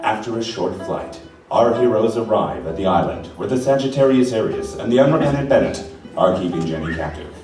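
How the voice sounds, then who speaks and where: Man as mock-solemn action narrator; animated, higher-pitched, distant mic, music behind. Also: brisk, close to an everyday voice. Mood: dramatic, mock-solemn. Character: theatrical action-adventure narrator, solemn and tongue-in-cheek. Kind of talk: dramatic scripted narration in a comic stage radio play. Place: rehearsal hall, live stage performance. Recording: echoey hall from far off, music behind.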